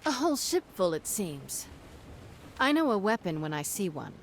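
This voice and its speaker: An actress playing a tough, sardonic pirate captain in a video game, commanding and dryly threatening. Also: female voice, medium pitch, big pitch swings, moderate pace, a little hiss or hum. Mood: wry, calculating. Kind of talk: video game cutscene acting.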